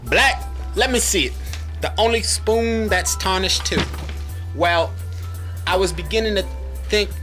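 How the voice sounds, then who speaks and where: A man acting a brisk, methodical homicide sergeant in an amateur radio mystery drama. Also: high voice, over music. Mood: knowing, firm.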